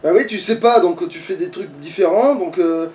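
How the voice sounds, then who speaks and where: High-voiced man swearing through a game. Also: normal speed, darkish tone. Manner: crude, swears often. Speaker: let's player playing the game. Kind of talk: casual gaming chat and reactions. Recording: distant mic, music behind.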